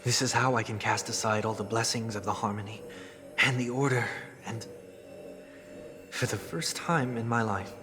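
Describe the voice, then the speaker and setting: Casting: male actor as soft-spoken fallen idealist, young, lowish voice, wistful and poetic game drama, clear music underneath. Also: moderate pace, lightly acted. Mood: weary, resolute. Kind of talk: dramatic video game cutscene acting.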